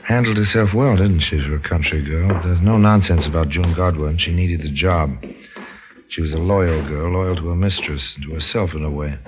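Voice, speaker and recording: An actor as a wry, knowing narrator telling a mystery story on old-time radio. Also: male voice, deep voice, moderate pace, noisy background, close to an everyday voice. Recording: muffled old radio recording.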